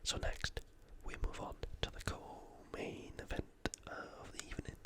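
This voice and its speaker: Whispering ASMR sports commentator. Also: moderate pace. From United Kingdom. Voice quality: breathy, unvoiced whisper. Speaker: host of ASMR fight preview videos.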